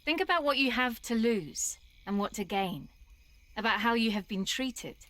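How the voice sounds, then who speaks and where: A woman's voice: on the high side, animated, normal speed, pushed and bright. A woman voicing a level-headed, dry female soldier, composed and persuasive, in a video game cutscene. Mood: earnest, firm.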